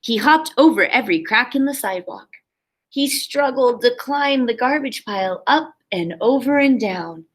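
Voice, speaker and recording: A woman's voice: a little high, animated, moderate pace. A woman kindergarten teacher reading a picture book aloud expressively for young children, with simple explanatory asides.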